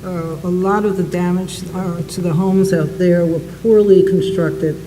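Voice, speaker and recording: A loud, plainspoken man answering at a panel discussion, talking in a rambling way into a panel microphone at a public event. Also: high-pitched, steady tone, a little slow. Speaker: panelist, college president. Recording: roomy hall reverb, faint noise.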